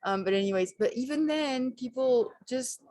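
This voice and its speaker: A woman's voice: high voice, moderate pace, darkish tone, no echo. A young woman who teaches French, chatting in a bubbly, eager way with many fillers. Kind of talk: casual conversation.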